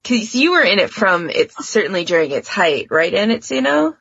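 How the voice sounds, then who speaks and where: A woman's voice: medium pitch, sing-song, moderate pace, creaky, a bit bright, husky, dry recording, lo-fi sound. A woman hosting a fandom podcast in a casual, informal and explanatory way, with some hedging. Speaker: podcast host.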